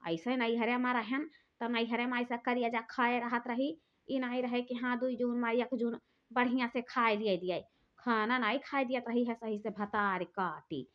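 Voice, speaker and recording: A woman's voice: a little high, fairly even intonation, normal speed, close to an everyday voice. A woman voicing a bossy, quarrelsome elder sister-in-law, with an Awadhi accent, scolding and taunting. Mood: quarrelling. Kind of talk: cartoon voice acting. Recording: dull lo-fi recording.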